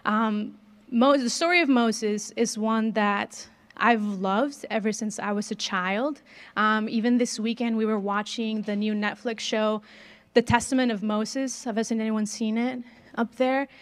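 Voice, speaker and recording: A woman's voice: on the high side, steady tone, unhurried. A woman in her twenties from northern Mexico, Spanish her first language, with a slight Mexican accent, giving a chatty sermon into a handheld mic in a large gym and asking the audience questions. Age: 20s. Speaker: guest speaker. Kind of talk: conversational sermon.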